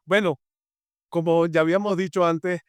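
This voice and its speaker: A man's voice: fairly high pitch, fairly even intonation, normal speed, smooth, no room sound, clean studio sound. Venezuelan man hosting a faith podcast. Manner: warm welcoming, many fillers and restarts. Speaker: podcast co-host.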